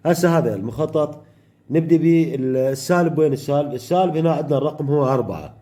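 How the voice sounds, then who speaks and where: A man with an Iraqi Arabic accent teaching an electronics workshop step by step, pausing often mid-phrase to find the next word. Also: on the high side, dark tone. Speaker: electronics tutor.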